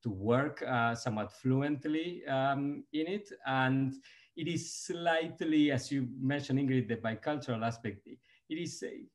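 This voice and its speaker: A man's voice: medium pitch, a little slow. Argentine guy on a video call from his office, talks about his book, lots of ums, mid voice. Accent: Argentine Spanish. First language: Spanish. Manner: hesitant, many ums and restarts. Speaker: author guest. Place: office with bookshelves, indoors. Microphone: video call webcam.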